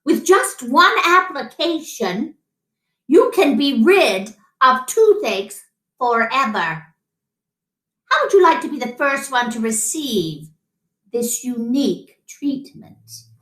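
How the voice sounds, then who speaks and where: A stern, dutiful male mouse dentist from a children's storybook, read by a woman. He speaks deliberately and emphatically, with lively pitch movement and a no-nonsense manner. The room sounds echoey. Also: exaggerated voice. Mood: formal persuasion. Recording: roomy echo.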